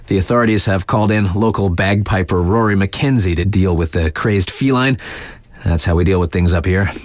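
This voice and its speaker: A man's voice: lowish voice, dry recording. A man playing a laid-back, sardonic young radio DJ in a video game, reading local news in a wry, slangy, conversational way. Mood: wry, fed up.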